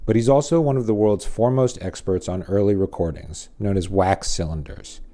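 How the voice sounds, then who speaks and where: A man's voice: on the low side, a little fast, dark tone. A man narrating a scripted story feature as host, with wry, dry asides.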